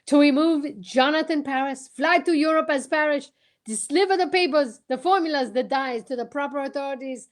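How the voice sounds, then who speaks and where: A woman playing a courteous, self-assured male detective, theatrical and deliberate, in a radio play. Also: high-pitched, natural voice. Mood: dramatic, confident. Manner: theatrical, deliberate, tag-questioning. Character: courteous, self-assured male French-speaking detective explaining his case. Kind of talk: amateur old-time radio play reading.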